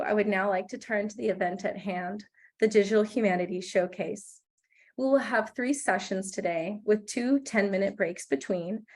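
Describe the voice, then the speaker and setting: A young woman with a slightly wheezy voice from a recent cough, chairing a graduate committee and reading welcome remarks on a video call. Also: mid-range voice.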